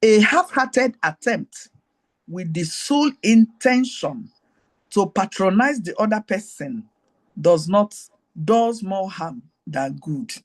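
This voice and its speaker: Husky Nigerian woman teaching. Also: lively intonation, slow pace. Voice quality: high-pitched. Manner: digressive, repeats key phrases, talks straight to listeners. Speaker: host. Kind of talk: teaching talk mixed with personal stories. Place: online group call.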